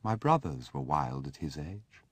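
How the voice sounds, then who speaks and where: A man's voice: a bass voice, sing-song, breathy edge, no room sound, exaggerated voice. A man doing a stately, reserved aristocratic mother. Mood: sorrowful. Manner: quiet, measured, formal.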